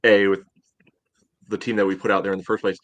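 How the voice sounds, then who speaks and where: A man co-hosting a casual sports podcast, reasoning through a match in halting, conversational phrases. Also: fairly low pitch, level tone, a bit bright. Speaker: podcast co-host.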